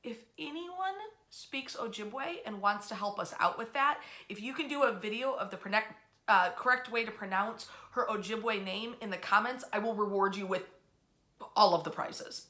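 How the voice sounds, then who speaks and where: A woman's voice: medium pitch, normal speed, sharp tone. A woman telling history informally to an audience online, conversational, enthusiastic and emphatic in her delivery. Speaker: history storyteller and tour club host. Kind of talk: informal storytelling history lecture to camera. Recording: roomy, echoey room sound.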